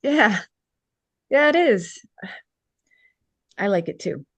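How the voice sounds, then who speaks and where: A woman's voice: medium pitch, very animated, slow pace, no echo. A woman playing a kind, encouraging young woman in a drama table read. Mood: friendly.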